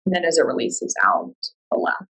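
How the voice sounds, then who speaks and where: A woman guiding a meditation in a calm, soothing, unhurried way, leaving long pauses between instructions. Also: rumbling low, husky, rough recording. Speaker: yoga nidra meditation guide. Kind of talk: guided meditation.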